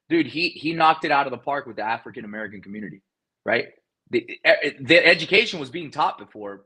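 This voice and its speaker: Bright, husky-voiced man, energetic and talkative. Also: mid-range voice, rough recording. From South Florida. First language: Spanish. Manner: energetic, repeats words, fast restarts. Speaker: guest, real estate investor. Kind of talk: casual interview talk.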